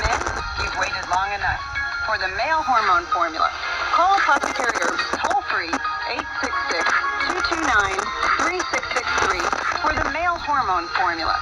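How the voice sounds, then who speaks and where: A woman reading a scripted radio commercial in a smooth, salesy way. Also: fairly high pitch, rough recording. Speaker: commercial announcer.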